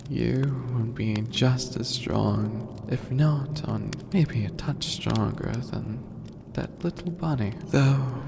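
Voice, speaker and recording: A man voicing an ancient skeletal demon overlord in an ASMR roleplay, calm, regal and formal, with a gravelly, breathy voice that often sinks to a whisper. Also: on the low side, exaggerated voice. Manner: slow, deliberate, lofty and formal. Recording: long cavernous reverb, noisy ambient bed.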